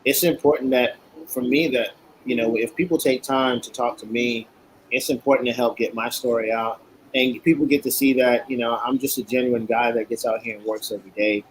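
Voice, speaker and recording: A man's voice: mid-range voice, steady tone, brisk, a little hiss or hum, low-quality recording. A man from Queens, a guest talking in an earnest, plain-spoken way with many fillers. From Queens, New York. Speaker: guest, cigar maker. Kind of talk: interview chat. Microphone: video call.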